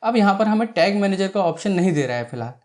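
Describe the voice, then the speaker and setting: A man's voice: sits high, expressive, fast, darkish tone. A man presenting a step-by-step screen tutorial, explaining each action and often checking in, with English technical terms mixed in. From India.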